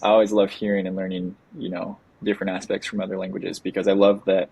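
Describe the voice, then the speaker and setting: Podcast host, man, deep flat husky voice, earnest and eager. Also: no room sound, poor audio. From United States. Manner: earnest, enthusiastic, often restarts words. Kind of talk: casual interview chat.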